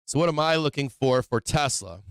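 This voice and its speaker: A man's voice: medium pitch, animated, fairly quick, smooth, no room sound, studio quality. A man who is losing his voice from being sick, hosting a casual stock-trading livestream, thinking aloud over charts and tossing in rhetorical "right?"s. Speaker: stock market livestream host.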